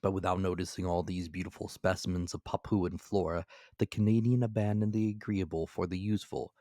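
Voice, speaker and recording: A man reading classic adventure fiction as a dramatic audiobook narrator, in a measured, deliberate manner. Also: sits low, steady tone, mellow, no room sound, clean studio sound.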